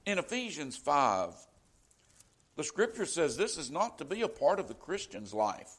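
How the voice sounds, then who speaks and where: A man's voice: on the high side, very animated, moderate pace, a bit bright, breathy edge. A middle-aged man from Florida with a Southern accent, preaching a sermon in a conversational way, often saying "you know.". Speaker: pastor.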